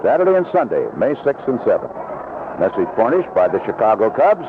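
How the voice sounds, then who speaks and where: A man's voice: fairly low pitch, big pitch swings, a little fast, narrow, tinny audio. A man calling baseball play-by-play on an old radio broadcast from a ballpark, mixing live commentary with promotional reads. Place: outdoor ballpark broadcast booth. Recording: band-limited vintage AM radio broadcast, noisy.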